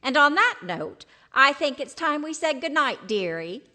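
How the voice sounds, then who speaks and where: Lady doing kindly old radio-comedy wife, gracious, patient, bright voice, very up and down. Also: high-pitched, big pitch swings, a little slow, exaggerated voice. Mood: gracious, fond. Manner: gracious, gently correcting.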